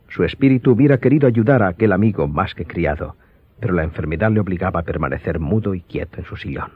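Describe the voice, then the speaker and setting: Deep, husky male narrator. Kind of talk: scripted dramatic audiobook narration. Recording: dull lo-fi sound.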